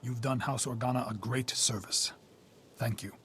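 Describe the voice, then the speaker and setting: A man's voice: mid-range voice, fairly even intonation, normal speed, a bit bright, a little hiss or hum, lightly acted. An actor playing a formal, dutiful male officer in a video game. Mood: grave, grateful.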